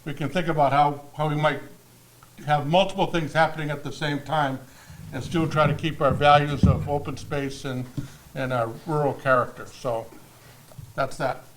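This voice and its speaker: Halting man at a town panel. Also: a little high, fairly even intonation, fairly bright tone, a little hiss or hum, poor audio. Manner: halting, many ums and restarts. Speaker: town board member at a panel. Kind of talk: public panel talk. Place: library meeting room.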